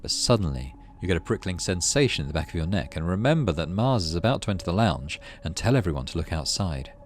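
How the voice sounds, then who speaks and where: Guy narrating a story game, lowish voice, really swoopy intonation, casual asides, bit of background noise. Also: big pitch swings, fast. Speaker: gaming channel host. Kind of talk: game playthrough, narrating story text aloud.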